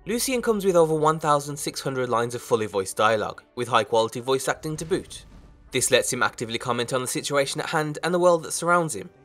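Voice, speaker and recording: A man narrates a scripted video game review as its host. He moves along quickly with orderly presenter phrasing at a medium pitch. Music plays softly underneath. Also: lively intonation.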